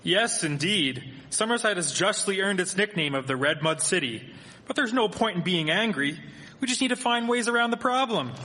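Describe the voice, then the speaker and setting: Man acting a bright, animated village gentleman in a hall. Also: sits high, moderate pace, slight background noise. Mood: reasoning. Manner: formal old-fashioned diction, theatrical. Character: cheerful, polite village gentleman of the 1870s. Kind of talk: live radio drama acting. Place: performing arts theatre stage. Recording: echoey hall, live stage performance.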